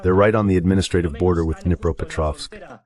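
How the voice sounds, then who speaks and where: A man with a low-pitched voice works through military commentary as an analyst. He explains step by step and drops in conversational asides, in the manner of a translated voice-over. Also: fairly quick, a little mellow. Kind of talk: live war commentary read as an AI voice-over translation.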